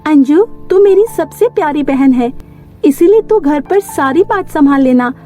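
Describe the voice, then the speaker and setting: A woman voicing a sweet, trusting, easily frightened young woman in an animated tale, with emphatic delivery. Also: sits high, measured pace, faint music behind, voice pushed up. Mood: coaxing. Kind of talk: Hindi animated fairy tale voice acting.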